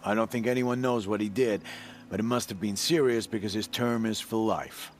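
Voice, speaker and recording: A man acts a gruff, wary outlaw trader in a video game with a low-pitched voice. He talks in a guarded, dry, laconic way, over noticeable insect and nature ambience. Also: normal speed, slight background noise, natural voice. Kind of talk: video game dialogue acting.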